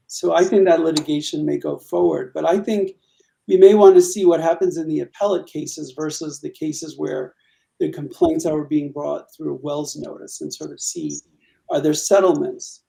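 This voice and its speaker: A man's voice: on the high side, normal speed, gravelly, mellow, rough recording. A man co-hosting a crypto panel, giving opinionated market views and repeating "I think". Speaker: co-host, market commentator. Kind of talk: panel discussion.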